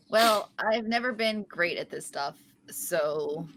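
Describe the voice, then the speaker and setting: A woman's voice: mid-range voice, fairly bright tone, slight background noise, heavily acted, rough recording. A woman reading the part of a quirky, upbeat young woman nursing a breakup in a sitcom table read, chatty and a little goofy. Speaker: podcast host reading a part.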